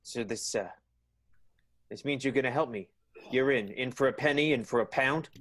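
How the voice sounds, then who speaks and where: A man's voice: mid-range voice, moderate pace, a bit bright, lightly acted. A man playing a flustered, earnest vaudeville theater boss, stammering and hesitant, in an improvised radio play.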